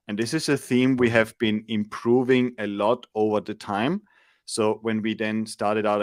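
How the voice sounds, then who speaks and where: Austrian-born man, low and dry. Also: no echo. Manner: thoughtful, explanatory, with frequent restarts. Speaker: guest, SEO agency founder. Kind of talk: casual interview chat. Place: home room, indoors. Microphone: large studio mic on arm.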